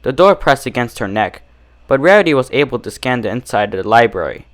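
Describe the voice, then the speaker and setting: A man's voice: mid-range voice, very animated, a little fast. A man reading a story aloud as scripted, dramatic narration. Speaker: fan fiction narrator.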